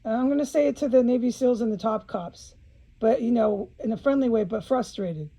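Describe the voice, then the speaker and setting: A woman's voice: fairly high pitch, a little fast, vocal fry, mellow, poor audio. A woman speaking in an informal video monologue, blunt and agitated, swearing often and repeating phrases for emphasis, with an aggrieved manner.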